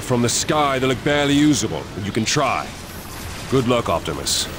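A man voicing a brisk, confident robot air fighter in a video game, with an earnest military delivery. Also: mid-range voice, very animated, noisy background, lightly acted. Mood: assured, earnest. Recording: game music with gunfire behind.

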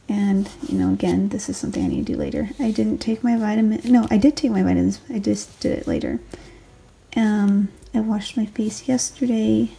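A woman in her twenties with a raspy late-night voice, rambling casually through her daily planner and correcting herself as she goes. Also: medium pitch. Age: 20s. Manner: rambling, self-correcting, soft. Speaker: planner vlogger, stay-at-home mom. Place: home desk, filmed late at night. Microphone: overhead camera on the desk.

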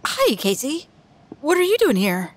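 A woman's voice: high voice, wildly swooping pitch, measured pace, full character voice. A woman playing an earnest, worried character in serious audio drama dialogue.

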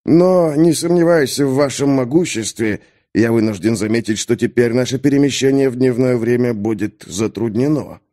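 A man's voice: medium pitch, moderate pace, few pauses, mellow, lightly acted. A man voicing a proud, irritable mage with a dry, formal manner in an audiobook. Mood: flustered, formal. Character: proud, irritable male mage, stiffly polite.

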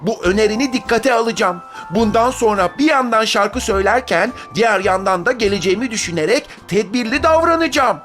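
A humble cartoon cicada, with an extremely high little voice. It talks politely and sincerely in a children's fairy tale, with faint music behind. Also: male voice, animated, brisk, hardly any pauses, a bit bright. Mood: humbly resolved. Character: humble, grateful singing cicada, polite and sheepish.

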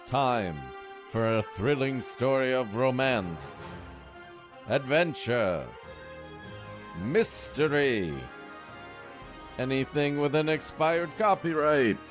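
Man playing a slow grandiose announcer over music. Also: medium pitch, lively intonation, pitched above the performer's own voice. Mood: grandiose, suspenseful. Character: dramatic male announcer of old-time thrilling tales. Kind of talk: theatrical podcast intro announcing.

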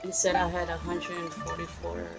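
A young woman with a low-pitched voice reacts casually to a video game. She is blunt and sassy, talking straight to her viewers in an unfiltered way. Loud game music plays behind her. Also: monotone, moderate pace, vocal fry. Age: young adult. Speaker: gaming YouTuber. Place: indoor room at home.

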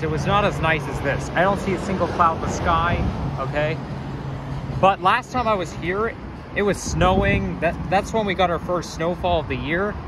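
Male walking vlogger, slightly high voice; rambling, self-correcting chatter, outdoor street noise behind. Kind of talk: casual walking vlog commentary. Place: outdoors on city sidewalks near traffic.

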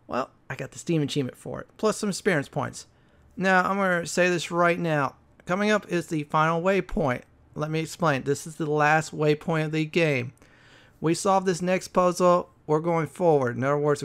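A man's voice: on the high side, smooth. A man hosting a gaming channel, giving casual walkthrough commentary and explaining things in short, plain phrases.

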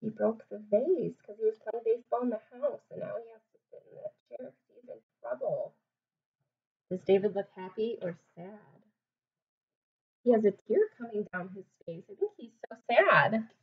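A woman in her twenties reading aloud to young children like a teacher, asking them simple questions in an animated way. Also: a little high, normal speed, gravelly, slightly rough. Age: 20s. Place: small home room, indoors. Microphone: webcam or laptop mic at arm's length. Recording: dull webcam sound, distant mic.

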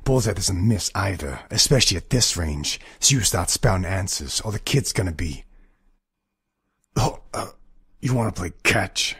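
A man's voice: deep voice, expressive, normal speed, a bit bright, husky, no room sound, clean studio sound, voice pushed up, heavily acted. A man playing a cocky, menacing comic-book assassin in a character demo. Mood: cocky, threatening.

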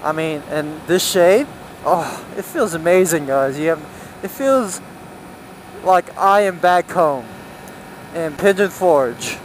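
A man's voice: fairly high pitch, takes it slowly, a little hiss or hum. A man giving casual, rambling commentary while riding a motorcycle, thinking aloud as he goes. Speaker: motorcycle vlogger. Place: outdoors, riding a motorcycle on city roads.

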